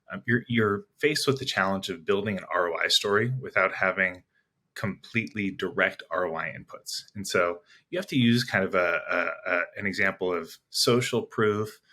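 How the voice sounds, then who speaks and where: A man's voice: low voice, pushed and bright. A man speaking as an interview guest, explaining business ideas reflectively in a conversational way, building his points piece by piece. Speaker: interview guest, sales executive.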